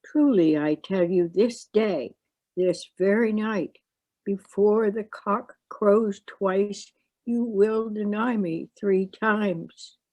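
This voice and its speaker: A woman reading the part of Jesus as a grave, sorrowful teacher in a solemn, deliberate scripture reading. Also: medium pitch, warm tone, no room sound.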